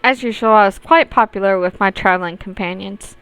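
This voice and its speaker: Female let's player narrating a story game, exceptionally clear tone, very little room sound. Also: mid-range voice, a little fast, a bit bright, no room sound, soft background music, poor audio. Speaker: gaming video host reading aloud. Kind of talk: visual novel let's play, reading narration and dialogue aloud.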